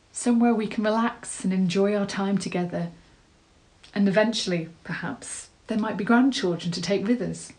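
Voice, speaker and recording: A woman's voice: medium pitch, darkish tone, natural voice, low-quality recording. An actress performing a dramatic monologue as a nervous, tender woman, earnest, hesitant and self-deprecating. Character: nervous, tender, rambling woman proposing marriage.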